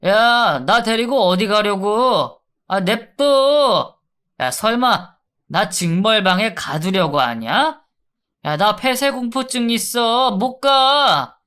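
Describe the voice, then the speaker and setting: A brash young male inmate in a storybook tale, as a man puts him on, whining and pleading in a raised, strained voice. He taunts and cries out, much higher and louder than the narrator's usual tone. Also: lively intonation, slow pace, smooth, full character voice. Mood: taunting. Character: brash whiny male inmate in withdrawal, narrator's old school bully.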